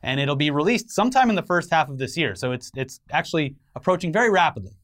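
Male news-show co-host, voice a bit high, conversational delivery with dry banter. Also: sing-song, fast, a bit bright.

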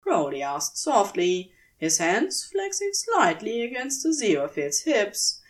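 A woman's voice: medium pitch, huge pitch swings, unhurried. A woman narrating scripted fiction as a storyteller, in audiobook style.

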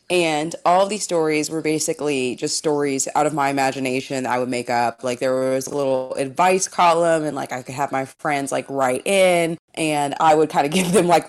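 A woman in her twenties from Indiana, a TV news anchor, telling her story as a guest with a slightly raspy voice and frequent laughs. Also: sits low. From Indiana, USA. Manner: warm storytelling, laughs often. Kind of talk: interview answers.

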